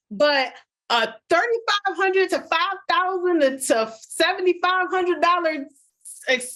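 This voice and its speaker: Podcast co-host, middle-aged woman, bright higher voice, emphatic and direct with listeners. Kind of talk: casual chat, storytelling.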